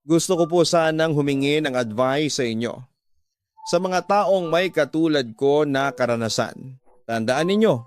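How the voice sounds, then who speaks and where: A man's voice: fairly high pitch, clear, resonant tone. A man narrating a letter in the first person for a radio drama, in a dramatic scripted style. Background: background music.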